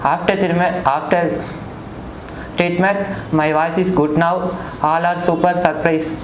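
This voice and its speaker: A man in his twenties, a native Tamil speaker with a Tamil Indian accent, answering haltingly in simple phrases as a patient. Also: on the high side, steady tone. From Chennai, India. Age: 20s. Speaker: voice therapy patient. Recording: roomy echo, loud background noise.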